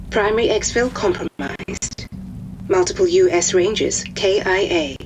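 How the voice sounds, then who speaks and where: An actress playing an impersonal wartime intelligence briefer in a video game, giving a clipped, formal military report. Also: female voice, medium pitch, noisy background, close to an everyday voice. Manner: formal, detached.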